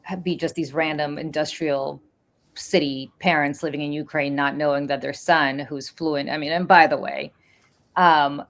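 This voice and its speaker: A woman's voice: sits low, steady tone, normal speed. A woman whose first language is Russian, talking casually on a podcast panel and thinking aloud with stumbles and restarts. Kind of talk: casual chat.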